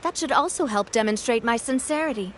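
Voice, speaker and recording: A woman's voice: on the high side, lively intonation, brisk, background noise, close to an everyday voice. A woman voicing a young woman who leads a group in a video game, firm, composed and sincere. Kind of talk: game voice acting. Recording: game audio with faint music behind.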